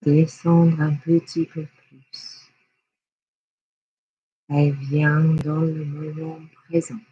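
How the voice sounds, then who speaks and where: A person guiding a yoga nidra relaxation in a hushed, soothing, unhurried way with long pauses. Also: mid-range voice, level tone, breathy edge, dull audio. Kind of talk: guided relaxation meditation.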